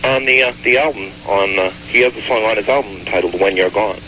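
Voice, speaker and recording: A man calling in to a radio show, asking his question in an earnest, halting way. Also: on the low side, sharp tone, a little hiss or hum. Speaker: caller. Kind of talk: phone-in question. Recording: distant lo-fi phone line.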